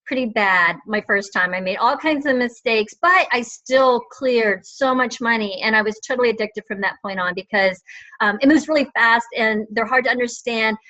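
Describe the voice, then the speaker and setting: A middle-aged woman with a high, bright voice, chatting eagerly and rambling with plenty of fillers. Her audio comes through a video call and sounds dull and lo-fi. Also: moderate pace. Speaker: guest, online reseller. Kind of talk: casual video chat.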